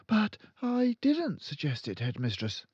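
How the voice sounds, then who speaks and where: A man's voice: high-pitched, huge pitch swings, measured pace, a little mellow, slightly rough, no echo, highs cut off, pitched above the performer's own voice, full character voice. A man voicing an anxious but resolute young woman schoolteacher, polite and pleading, in a children's storybook reading. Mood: earnest. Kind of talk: children's audiobook character voice.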